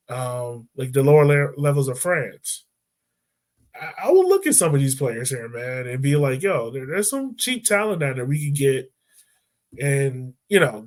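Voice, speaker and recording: A man's voice: medium pitch, unhurried. Casual talkative man in a sports chat. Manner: animated, loose with many fillers and restarts. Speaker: podcast co-host.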